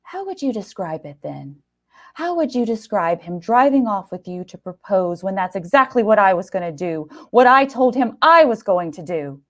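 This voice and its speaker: A woman's voice: mid-range voice, very animated, normal speed, natural voice. A woman voicing a jilted boyfriend, sarcastic, accusing and indignant. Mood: bitter, accusing. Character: Gary, bitter jilted boyfriend, sneering and indignant.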